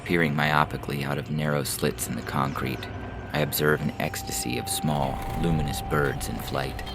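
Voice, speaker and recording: A man reading a literary story as a narrator in a measured, solemn delivery. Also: very deep voice, level tone. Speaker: narrator and podcast host. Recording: music behind the voice. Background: music bed.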